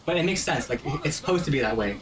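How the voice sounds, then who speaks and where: A man with an average-pitched voice talks through a game like an enthusiastic explainer. His speech is informal and slangy, with other voices and game sound audible behind him. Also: expressive, fast talker, crowd noise. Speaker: host explaining the game to friends. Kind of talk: casual gaming commentary chat.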